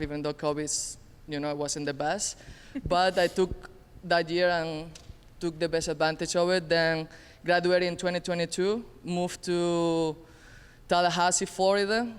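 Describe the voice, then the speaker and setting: Crisp-voiced young man from Venezuela. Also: on the high side. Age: 20s. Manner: earnest, slightly nonstandard grammar. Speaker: assistant tennis coach. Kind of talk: casual panel introduction. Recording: reverberant hall.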